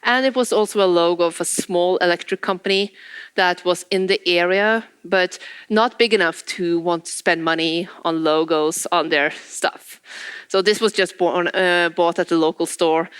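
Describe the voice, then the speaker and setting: A woman's voice: on the low side, smooth, no echo. A woman in her thirties with a Norwegian accent, telling stories to an audience with laughs and acted-out quotes. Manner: lively, laughs between anecdotes, acts out quoted exchanges. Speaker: conference speaker. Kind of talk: storytelling talk to an audience.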